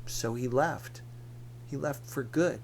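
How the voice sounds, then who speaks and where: A man's voice: mid-range voice, slow pace, darkish tone. A man reading a novel aloud as an audiobook narrator, in scripted storytelling narration. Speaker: audiobook reader. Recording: clearly noisy recording with hum.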